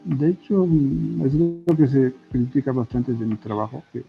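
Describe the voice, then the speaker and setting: A man's voice: mid-range voice, normal speed, creaky. A Bolivian man speaking Spanish as an interview guest, thoughtful and hesitant, often checking in with short tag questions. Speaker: guest, comic artist and illustrator. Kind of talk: casual interview conversation. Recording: muffled lo-fi audio with faint music. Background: faint background music.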